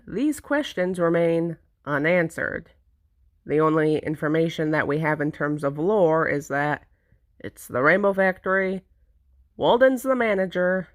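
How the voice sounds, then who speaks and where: A woman narrating a script to viewers in a conversational, theatrical way with deliberate emphasis. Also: on the low side, no room sound, clean studio sound. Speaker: narrator presenting own fan project.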